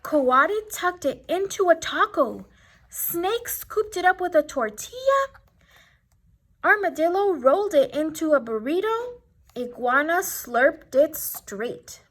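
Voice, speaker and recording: A woman reading a children's story aloud with expressive, deliberate and dramatic phrasing. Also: extremely high pitch. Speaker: storybook reader.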